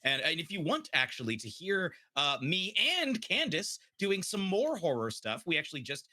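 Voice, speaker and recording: Man, higher pitch, very animated, enthusiastic, bright tone, informal plugging. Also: moderate pace, dry recording, studio quality. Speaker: podcast co-host and guest player. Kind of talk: casual chat and plugs.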